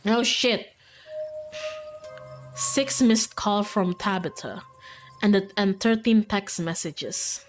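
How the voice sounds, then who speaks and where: A woman's voice: medium pitch, takes it slowly, pauses often, soft background music. A woman streamer reading game narration aloud and dropping in casual reactions.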